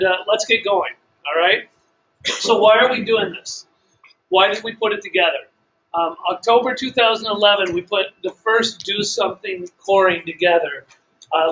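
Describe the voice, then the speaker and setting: Loud, bright-voiced man addressing a seminar. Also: sits high. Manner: brisk organizer with filler words. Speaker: business training host. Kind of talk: live seminar talk to a room. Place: hotel meeting room. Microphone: room recording, mic not working. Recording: dull lo-fi room recording, faint noise.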